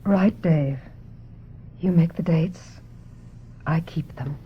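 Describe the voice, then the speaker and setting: Gentle ambitious actress, muffled sound. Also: female voice, sits low, slow, heavy background noise. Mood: polite. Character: gentle, ambitious actress looking back, guarded and willing. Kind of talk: radio drama acting with confessional narration. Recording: dull old radio recording.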